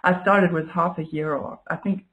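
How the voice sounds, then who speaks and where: A woman's voice: lowish voice, fairly even intonation, normal speed, background noise. A woman from Germany, a native German speaker with a German accent, speaks as an interview guest in a reflective, casual way, often searching for her words. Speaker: guest, client giving a testimonial.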